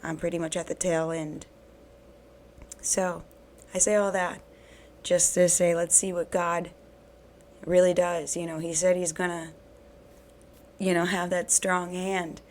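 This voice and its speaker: A woman hosting a bible reading channel, talking earnestly and encouragingly to her viewers while her voice is hoarse from recent illness. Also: on the low side, flat delivery, unhurried, pauses often, a little mellow, a little hiss or hum. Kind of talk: casual devotional talk to camera.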